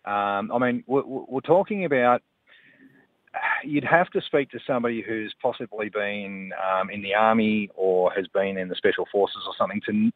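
A man from New Zealand calling a radio show by phone, telling a story in a halting, solemn, insistent way. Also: on the low side, no room sound. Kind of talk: casual anecdote telling. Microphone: telephone. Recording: tinny phone line.

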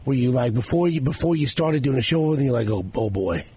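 A man's voice: medium pitch, animated, fast talker, warm tone, slight background noise. A man co-hosting a sports radio show with a sore throat, joking and teasing in casual banter. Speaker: radio co-host.

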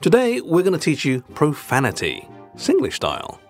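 Prim British man with lively, animated delivery. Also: a little high, normal speed, faint music behind. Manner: prim, formal, wordy. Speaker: podcast host. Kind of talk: scripted comic language lesson.

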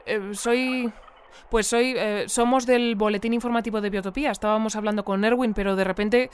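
An actress with a Spain accent playing an earnest, polite news bulletin presenter in an audio fiction mock newscast. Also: female voice, fairly high pitch, fairly even intonation, brisk, clean tone, lightly acted. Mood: puzzled, concerned. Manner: polite, hesitant when questioning.

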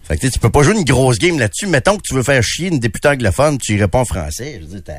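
A man's voice: mid-range voice, big pitch swings, racing, no echo, clean studio sound. A man with a Québécois accent co-hosting a radio talk show, opinionated and indignant, often restarting his sentences. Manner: emphatic, indignant, many restarts. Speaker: radio co-host. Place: radio studio.

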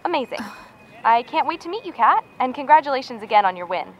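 A friendly, gushing assistant in an audio drama phone call. She sounds fairly high, polite and effusive through slightly muffled audio with faint ambient noise. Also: very animated, quick pace. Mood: excited, friendly.

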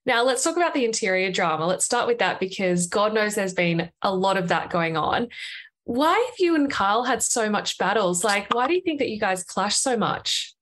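A woman's voice: mid-range voice, animated, normal speed, fairly bright tone, no echo. A woman hosting a podcast, reading an upbeat intro and then interviewing her guest. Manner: upbeat, gushing. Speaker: podcast host.

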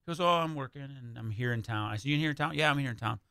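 Bright-voiced American man in radio interview. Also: medium pitch, racing, gravelly, clean tone, dry recording, clean studio sound. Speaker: radio play writer, guest. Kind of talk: casual radio interview chat. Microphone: close radio studio microphone.

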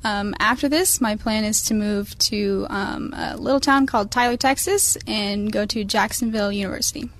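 A woman's voice: mid-range voice, normal speed, few pauses. An eighteen-year-old woman, the student body president, talking in a playful, joking way.

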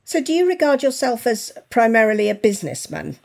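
A woman co-hosting an interview podcast, introducing the guest and asking questions in a measured, articulate way. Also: a little high, very animated, vocal fry, darkish tone. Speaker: podcast co-host.

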